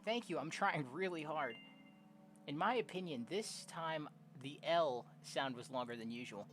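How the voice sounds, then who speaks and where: A young woman casually commenting on a game and reading its text aloud in a slangy, expressive way. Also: deep voice, measured pace, noisy background. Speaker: streamer playing a game. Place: own room at home.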